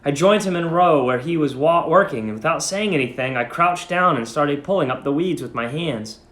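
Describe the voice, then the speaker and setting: A man speaking as a teacher, reading and instructing in an expressive, emphatic way. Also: on the high side, normal speed. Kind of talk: dramatic story reading and lesson instruction. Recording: echoey room.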